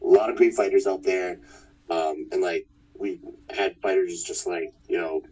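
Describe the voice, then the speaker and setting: A man in his thirties from Manitoba, a fighter talking about his past in a relaxed, rambling way. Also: sits low, normal speed, breathy edge, recorded from a distance, rough recording. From Winnipeg, Manitoba, Canada. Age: 30s. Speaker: fighter, interview guest. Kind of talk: casual interview chat.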